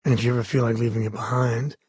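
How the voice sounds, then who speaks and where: A roguish spacefaring ranger in a video game, mid-pitched and heavily husky. He talks in a folksy, wry way, like a protective father who has seen the frontier. The sound is dry and close, with no room on it. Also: level tone, racing, a little mellow, no echo. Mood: easygoing, candid. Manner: folksy, wry, casual drawl of words. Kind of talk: video game voice acting, short dialogue lines.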